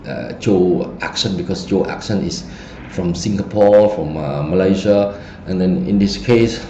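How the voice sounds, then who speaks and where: A man from Cambodia with a Cambodian accent, whose first language is Khmer, giving a feng shui lesson as an online presentation in halting English, full of fillers and restarts. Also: low voice, mellow. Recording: dull muffled recording, roomy echo, faint noise.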